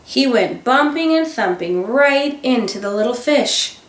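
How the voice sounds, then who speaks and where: Slow, expressive teacher reading a storybook. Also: female voice, a little high. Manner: expressive storytelling with gasps and dramatic emphasis. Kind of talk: children's picture book read-aloud. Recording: roomy sound, voice a bit far from the mic.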